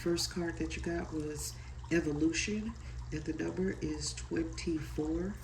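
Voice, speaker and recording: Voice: medium pitch, fairly even intonation, unhurried, vocal fry, recorded from a distance, background noise. A tarot card reader with a stuffy nose from a head cold, chatting loosely and apologetically and reading aloud from a guidebook. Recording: echoey room, set back from the mic.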